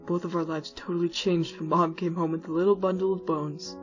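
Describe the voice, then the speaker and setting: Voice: mid-range voice, level tone, brisk, lightly acted. An actor voicing a laid-back male skeleton, a wry and reflective older brother telling his story in a comic dub. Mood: wistful. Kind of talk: scripted first-person storytelling in a comic dub. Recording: muffled audio with music underneath.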